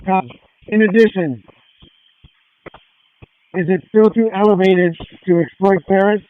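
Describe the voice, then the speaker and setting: High-voiced man on tinny police radio. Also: a little slow, no room sound. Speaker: police SWAT officer. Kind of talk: tactical police radio traffic.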